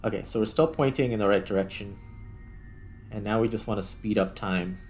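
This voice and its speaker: A man's voice: lowish voice, fairly quick, a little mellow, faint music behind. A man giving casual gameplay commentary, thinking aloud in a tentative, self-questioning way as he narrates each step. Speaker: gaming video host.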